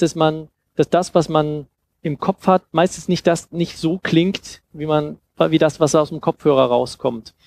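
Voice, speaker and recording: A man in his thirties with a fairly high, gravelly voice speaks informally to an audience. He explains things in an easygoing talk style, picked up by a headset microphone. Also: darkish tone. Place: small dim lounge room at a festival.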